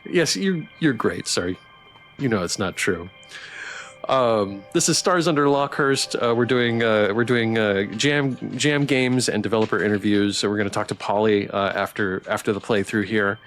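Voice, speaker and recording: A man live-streaming a story game, switching between casual, playful, halting chat with viewers and reading narration aloud. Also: on the low side, a bit bright. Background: background music.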